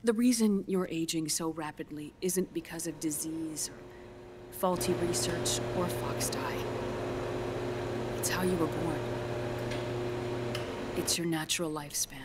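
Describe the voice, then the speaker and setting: A woman playing a grave, earnest scientist in a video game cutscene, speaking in a measured, deliberate, clinical way with breathy pauses. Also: low-pitched, heavy background noise. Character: grave, earnest female scientist explaining grim news gently.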